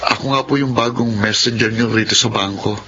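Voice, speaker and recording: Humble young messenger guy, actor doing him, lowish voice, radio play hiss. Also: steady tone, fairly quick, lo-fi sound, natural voice. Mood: apologetic. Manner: polite, deferential. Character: young man bank messenger, humble and apologetic.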